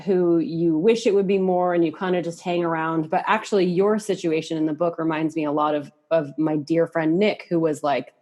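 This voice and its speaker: A woman's voice: on the low side, mellow. A woman in her thirties chatting casually as a podcast guest, candid and giggly, peppering her talk with "like". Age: 30s. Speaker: podcast guest, musician and hairstylist. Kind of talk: casual chat.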